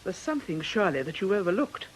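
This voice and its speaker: A woman acting in a radio drama as a composed, principled nun with a British accent and a measured, gently reproving manner. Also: medium pitch, sing-song, slight background noise, natural voice, rough recording. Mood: calm, reproving. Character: principled, composed nun, firm and fair-minded. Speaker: radio actor.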